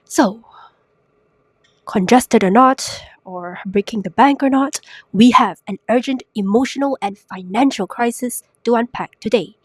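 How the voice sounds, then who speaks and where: A young woman hosting a solo podcast while sick, her voice raspy and congested, chatty and self-mocking with lots of rhetorical questions. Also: mid-range voice, no room sound. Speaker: podcast host. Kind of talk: casual solo podcast talk. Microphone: new podcast microphone.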